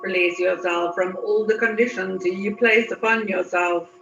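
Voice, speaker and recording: A woman leading a guided meditation in a soothing manner, with unhurried pauses and repeated phrases. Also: mid-range voice, steady tone, gravelly, fairly bright tone, a little hiss or hum. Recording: muffled, echoey, distant from mic.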